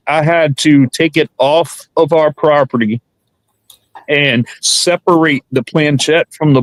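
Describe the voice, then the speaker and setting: A man's voice: mid-range voice, no room sound, poor audio. Rambling man, dry sound. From South Carolina Low Country. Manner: rambling with many restarts and fillers. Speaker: podcast host. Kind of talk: casual chat.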